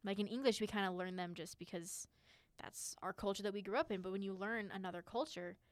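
A young college woman talks eagerly and earnestly in a casual podcast chat. Her pitch sits in the middle range. The recording is dry and close-miked. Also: fast, dry recording. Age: young adult. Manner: enthusiastic, talkative, earnest.

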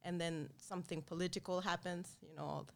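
A woman who grew up in Sweden, chatting as an interview guest, laughing often and speaking in halting phrases. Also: on the low side, steady tone, creaky. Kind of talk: casual interview chat.

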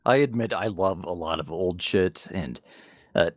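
A man's voice: sits low, a little slow, dry recording, studio quality. A man co-hosting a podcast, chatting casually and frankly about games and manga, with some swearing. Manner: profane, frank. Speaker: podcast co-host.

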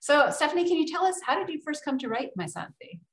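Woman interviewer, quick and appreciative, in an echoey room. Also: high voice, big pitch swings, a bit bright. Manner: appreciative, asking questions.

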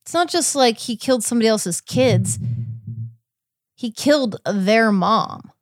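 A woman's voice: fairly high pitch, lively intonation, unhurried, no room sound. Woman podcaster chatting, close dry sound. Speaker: podcast co-host. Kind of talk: casual true crime podcast chat.

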